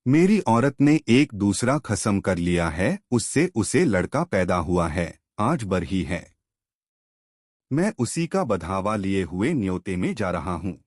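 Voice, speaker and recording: A man's voice: lowish voice, very animated, slow pace, darkish tone, dry recording, clean studio sound, heavily acted. A man narrating a story as a foolish, cheerful villager who explains himself earnestly. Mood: proud.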